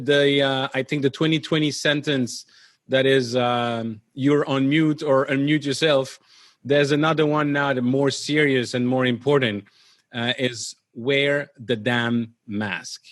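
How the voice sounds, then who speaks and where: A man from Belgium with a Belgian accent, hosting a conversational interview in an animated, halting way that repeats words. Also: medium pitch, no echo. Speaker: show host.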